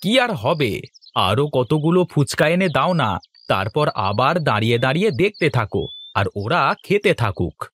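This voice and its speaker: A man voicing a teasing, easily amazed family man in a fairy-tale cartoon, husky and exaggerated. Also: medium pitch, very animated, normal speed, fairly bright tone. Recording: music behind the voice.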